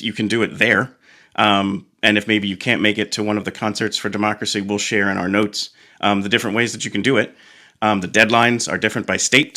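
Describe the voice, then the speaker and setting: A man hosting an interview in a relaxed, encouraging way, with many fillers. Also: on the low side. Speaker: show host. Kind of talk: casual interview chat.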